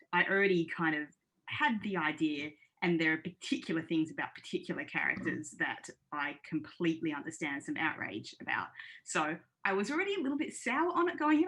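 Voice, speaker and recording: A woman speaking casually as a podcast panellist, in a measured and candid way. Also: lowish voice, fairly bright tone, poor audio.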